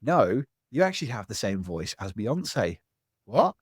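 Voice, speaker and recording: A man, a public speaking coach giving a lively interview answer from home, acting out voices as he talks. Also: on the low side, a little slow, husky, no room sound, clean studio sound. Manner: animated, acts out other people's voices.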